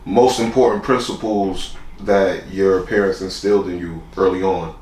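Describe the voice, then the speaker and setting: Low-voiced laid-back male host. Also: steady tone, moderate pace, darkish tone, roomy sound, slight background noise, low-quality recording. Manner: laid-back, calls the guest "man" and "brother". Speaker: podcast host. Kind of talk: casual interview.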